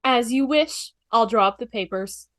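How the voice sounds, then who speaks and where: A woman's voice: on the high side, moderate pace, a bit bright, no echo, close to an everyday voice. A woman playing a polite, grateful opera official in a scripted comedy read over a video call. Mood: formal, grateful. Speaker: fan table-read actor.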